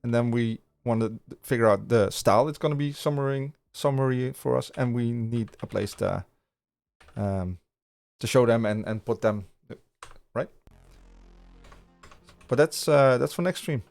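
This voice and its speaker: A man's voice: medium pitch, unhurried, vocal fry, long pauses, a little mellow, soft background music. Dutch man chatting on a coding stream. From Netherlands. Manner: rambling, many restarts, chatting with viewers. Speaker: livestream coding host. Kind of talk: casual live-coding stream chat. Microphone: studio podcast mic.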